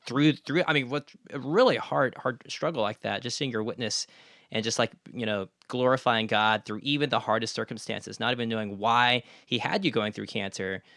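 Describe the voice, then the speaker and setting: A man's voice: mid-range voice, normal speed, vocal fry, fairly bright tone, dry recording, clean studio sound. A man hosting a podcast interview in a conversational, supportive and affirming way.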